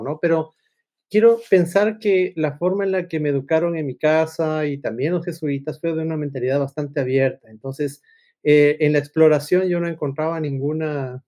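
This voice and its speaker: A middle-aged man from Ecuador speaks in a fairly high voice. He talks like a guest in a casual conversation, telling things in a roundabout way and adding small tag questions. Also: dark tone. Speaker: podcast guest, agile consultant and coach.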